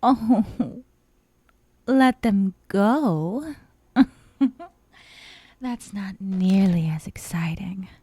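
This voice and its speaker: Woman acting a slow, breathy, sadistic yandere girlfriend. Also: mid-range voice, lively intonation, dry recording, exaggerated voice. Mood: playfully sadistic. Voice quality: soft, breathy. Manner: slow, breathy half-whispers broken by giggles. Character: obsessive, sadistic young yandere woman, sweetly menacing captor. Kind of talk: ASMR roleplay, intimate dramatic monologue.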